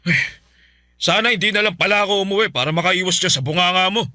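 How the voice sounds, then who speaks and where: A curt, brushed-off husband. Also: male voice, high voice, fast, sharp tone, no echo, studio quality. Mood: annoyed. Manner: curt, dismissive, defensive. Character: irritable husband dodging his wife. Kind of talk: Filipino radio drama acting.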